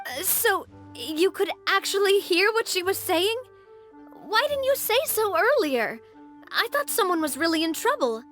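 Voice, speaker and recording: Brief: friendly young game heroine, chatty and curious, high pitch, very expressive melody. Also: female voice, a little slow, faint music behind, heavily acted. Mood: animated recounting. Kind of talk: English video game voice acting.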